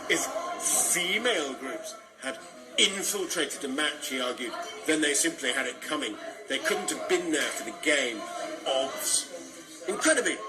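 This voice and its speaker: A man's voice: on the high side, poor audio. A man reading a literary short story aloud. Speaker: author reading own story.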